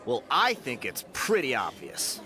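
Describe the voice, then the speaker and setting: Smug, sneering young warrior. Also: male voice, mid-range voice, huge pitch swings, measured pace, sharp tone, some chatter behind, exaggerated voice. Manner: sneering, insinuating. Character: smug, spiteful young male warrior, scornful rumor-monger. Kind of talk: English video game voice acting.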